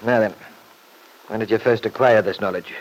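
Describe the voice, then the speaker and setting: An actor playing a shrewd, dryly sardonic attorney in an old radio serial, probing and deliberate. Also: male voice, lowish voice, fairly even intonation, natural voice. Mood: brisk, questioning. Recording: noisy old radio broadcast recording.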